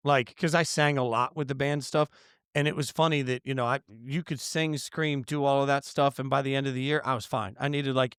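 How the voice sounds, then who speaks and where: A man appearing as a guest on a sports podcast, telling animated stories full of fillers. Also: mid-range voice, smooth, dry recording, studio quality. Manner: animated storyteller, lots of fillers. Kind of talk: casual interview storytelling.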